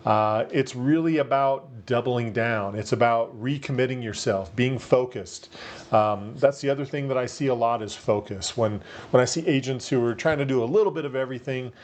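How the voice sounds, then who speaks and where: A man with a deep radio-type voice, speaking as a marketing coach in a casual, persuasive talk to camera, full of fillers. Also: moderate pace. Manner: upbeat, persuasive, many fillers. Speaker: marketing coach for real estate agents.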